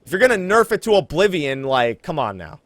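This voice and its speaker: A man hosting a casual gaming podcast, chatting in an animated, teasing way with a co-host. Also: on the high side, fairly quick, no room sound, studio quality.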